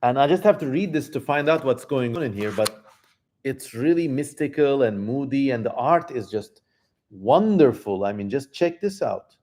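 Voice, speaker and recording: A man's voice: mid-range voice, lively intonation, moderate pace, darkish tone. A man hosting a livestream in casual chat, effusive and repeating words for emphasis as he talks to viewers. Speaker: livestream host.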